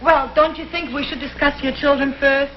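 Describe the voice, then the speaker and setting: High-voiced deadpan governess played by a woman. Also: fairly quick, very noisy, natural voice. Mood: polite, deadpan. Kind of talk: 1960s TV comedy sketch acting. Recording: muffled old TV audio, loud studio audience.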